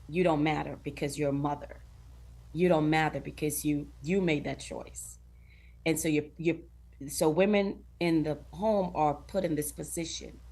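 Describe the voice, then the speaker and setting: Low-voiced woman from Guyana. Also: a little mellow. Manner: earnest, laughs often, acts out other people's lines. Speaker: talk show guest. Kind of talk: casual interview chat.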